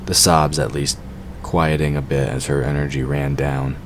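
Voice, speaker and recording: Male narrator, extremely low pitch, scripted storytelling, clear background noise. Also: little pitch movement, moderate pace. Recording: clearly noisy background ambience.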